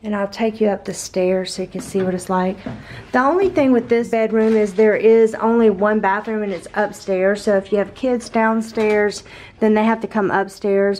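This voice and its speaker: A woman vlogging a walk-through of a hotel suite, chatty and upbeat, her voice a little raspy after an overnight flight, recorded on the filming camera indoors. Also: mid-range voice, a little mellow, a little hiss or hum. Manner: chatty, upbeat, tour-guide pointing out details. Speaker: travel vlogger. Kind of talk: casual hotel tour vlog.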